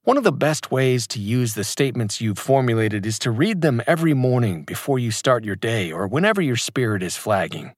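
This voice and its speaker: A man reads his own fitness book aloud in a motivational, emphatic way. His pitch moves a lot across the lines. The recording is dry and close, with no room sound. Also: very animated, fairly quick, hardly any pauses, no room sound. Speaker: author reading his own audiobook. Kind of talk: scripted audiobook narration.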